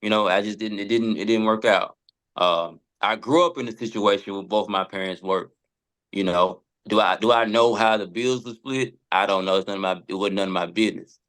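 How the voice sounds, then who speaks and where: A man's voice: on the low side, fairly quick, fairly bright tone. An American man in a casual discussion, speaking informally and hesitantly, often circling back over his words. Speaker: podcast guest.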